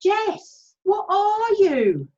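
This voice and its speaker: A woman's voice: extremely high pitch, wildly swooping pitch, very slow, dark tone, dull audio, heavily acted. A woman voicing a sweet little girl in a school nativity, in an amateur play reading. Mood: childlike.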